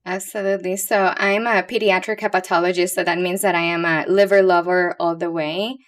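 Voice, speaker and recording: A woman's voice: lowish voice, little pitch movement, brisk. A woman in her thirties with a Puerto Rican accent, speaking candidly and playfully as a guest expert in a casual podcast interview. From Puerto Rico. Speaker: guest expert, pediatric liver doctor.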